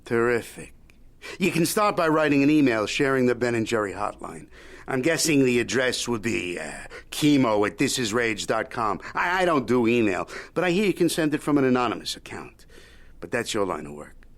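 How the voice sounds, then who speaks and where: An actor playing a shrewd, folksy old media mogul in an audio drama, haggling in a wry, needling, Yiddish-peppered way. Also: male voice, medium pitch, very animated, normal speed. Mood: coaxing, sly. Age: older.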